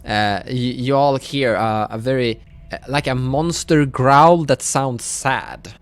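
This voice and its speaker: A man narrating a tabletop roleplaying game as game master, improvising descriptions in a halting, searching way. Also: medium pitch, smooth.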